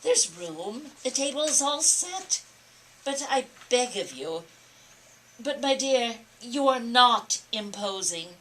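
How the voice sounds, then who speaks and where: A woman's voice: fairly high pitch, sing-song, takes it slowly, fairly bright tone, full character voice, low-quality recording. A woman acting an imperious, easily exasperated society hostess and mother in a theatrical, scolding manner at a play table reading. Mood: pleading, polite. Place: library room. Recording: background noise, live room reading.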